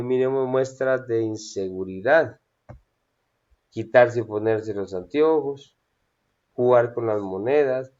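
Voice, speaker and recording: A man's voice: medium pitch, a little mellow, lo-fi sound. A man teaching a class on public speaking, hesitating often and checking in with his students. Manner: hesitant with frequent "eh" fillers and checking "¿sí?". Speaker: instructor.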